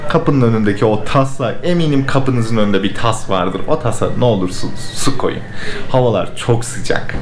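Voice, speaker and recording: A man's voice: lowish voice, gravelly. A man in his thirties chatting casually to camera as a vlog host. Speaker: vlog host at a veterinary clinic. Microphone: action camera at arm's length. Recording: echoey clinic rooms, faint background noise.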